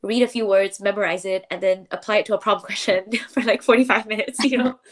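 Young woman from malaysia, podcast guest, chatty, laughs a lot, says like constantly, middle pitch. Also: steady tone, fast. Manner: chatty, laughs often, many "like" fillers. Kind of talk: casual interview chat.

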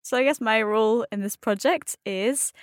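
A woman's voice: on the high side, moderate pace, no room sound, clean studio sound. A woman with a Scottish accent, an advanced French learner, talking through her approach in a thoughtful, conversational way with frequent ums. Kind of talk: casual conversational explanation.

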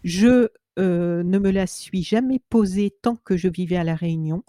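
A woman interviewer asking questions in a measured way, reading out short passages and using a few fillers. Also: on the low side, dark tone, no echo, studio quality.

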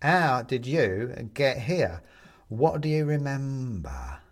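Man voicing a slow, coaxing, sinister plaster skeleton. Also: mid-range voice, big pitch swings, heavily acted. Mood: coaxing, prying. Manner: drawn-out, coaxing, relishing words. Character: sly skeleton of plaster, feigning soothing friendliness, male.

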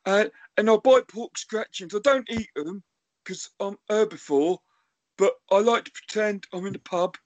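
A man's voice: high-pitched, normal speed, no room sound, lightly acted. A man voicing Dave, a dim cheerful little elephant. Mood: rambling. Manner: rambling and deadpan. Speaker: podcast co-host.

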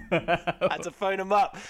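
A young man, a stand-up comedian and podcast guest, telling loud, self-mocking stories full of swearing in a casual chat. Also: high voice.

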